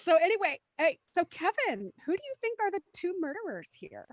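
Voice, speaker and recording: Upbeat female host, high voice with a lot of lift, runs the chat. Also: sing-song, brisk, no echo. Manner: upbeat, steers the talk with questions. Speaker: host leading the cast discussion. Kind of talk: casual group chat.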